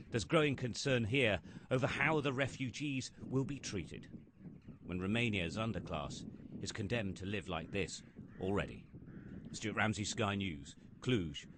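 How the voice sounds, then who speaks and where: Low-voiced male news correspondent outdoors. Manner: measured, grave reporting tone. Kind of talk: scripted news narration. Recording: outdoor location with loud background noise.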